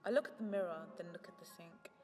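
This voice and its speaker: A woman's voice: lowish voice, fast talker, dark tone. A young woman in her teens delivering a confessional, partly rhymed spoken-word monologue, as if leaving a reflective message for a friend. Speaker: student narrating an audio journal. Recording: long reverb with music behind. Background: music.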